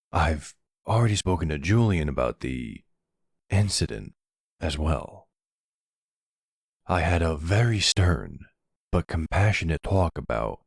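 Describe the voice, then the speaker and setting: A man playing a tender, charming middle-aged mafia boss boyfriend and father in an ASMR roleplay, speaking gently and reassuringly. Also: extremely low pitch, gravelly, husky, no echo, studio quality, full character voice. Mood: stern, compassionate.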